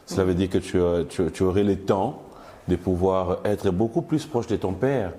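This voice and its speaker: A man's voice: low-pitched, normal speed, dark tone, a little hiss or hum. A man hosting a casual interview, asking teasing, playful questions. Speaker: talk show host.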